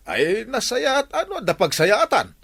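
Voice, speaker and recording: A man with an Ilocano accent playing an excitable, suspicious villager in a radio comedy drama, full of questions. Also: very high-pitched, a little slow, vocal fry, breathy edge, no echo, clean studio sound, exaggerated voice. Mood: excited, incredulous.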